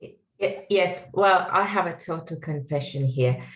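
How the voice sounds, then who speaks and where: A woman's voice: lowish voice, unhurried, echoey room, poor audio. A middle-aged woman with a Sri Lankan accent, speaking as a guest on an online panel in an earnest, reflective way with many fillers. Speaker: guest speaker, tech executive.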